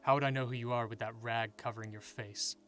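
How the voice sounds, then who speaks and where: Stern, pious male guard, played by an actor, suspicious, mid-pitched, audio play over clear music. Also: steady tone, fast, a bit bright, close to an everyday voice. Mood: stern, hostile. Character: hostile, pious male guard refusing entry at shelter. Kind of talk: audio drama acting. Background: music behind the voice.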